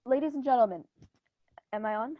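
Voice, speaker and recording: High-voiced, excitable radio news reporter played by a woman. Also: dry recording, lo-fi sound, lightly acted. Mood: anxious, hushed. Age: young adult. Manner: dramatic, urgent narration with pauses. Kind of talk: radio drama live eyewitness report. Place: living room at home. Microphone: earphone mic on a video call.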